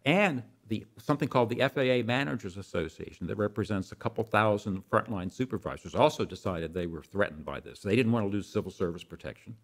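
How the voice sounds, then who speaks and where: A man's voice: lowish voice, fast, few pauses. An older man speaking as an expert guest in an interview, explaining in a conversational way and repeating phrases for emphasis.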